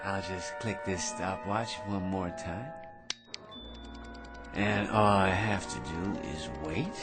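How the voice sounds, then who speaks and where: An actor playing a tipsy, cheeky barroom blowhard who rambles, stammers and wheedles in an old-time radio drama style. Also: male voice, sits low, slow pace. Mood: scheming, gleeful. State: drunk. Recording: music behind radio drama dialogue.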